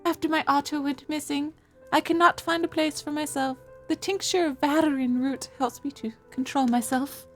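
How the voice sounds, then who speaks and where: Woman putting on a high-voiced, theatrical young noblewoman. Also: steady tone, normal speed, a bit bright, faint music behind. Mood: distraught. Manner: theatrical, wandering put-on accent. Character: anxious young newlywed noblewoman with pointed ears, coy, wounded.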